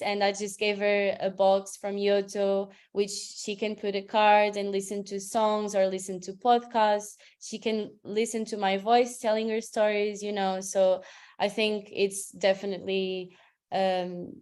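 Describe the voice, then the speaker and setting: Woman, Portuguese accent, middle pitch, chatty webinar guest. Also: a little mellow. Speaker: translator, webinar speaker. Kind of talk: casual webinar talk.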